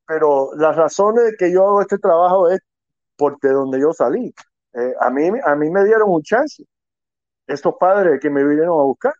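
Middle-aged male guest, mellow voice, dull dry call line, rambling delivery. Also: a little high, expressive, normal speed, no echo. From Quebec, Canada, raised in Miami. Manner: rambling, restarts, mixes in English words. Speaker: child rescue investigator, guest. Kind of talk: casual interview talk. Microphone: phone or call line. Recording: dull lo-fi sound.

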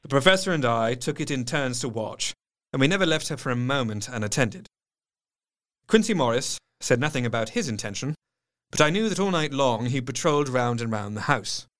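An actor playing a careworn Victorian doctor, narrating his diary with formal, measured diction in a gothic audio drama. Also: mid-range voice, animated, a bit bright, lightly acted. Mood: somber. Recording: faint music behind. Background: music.

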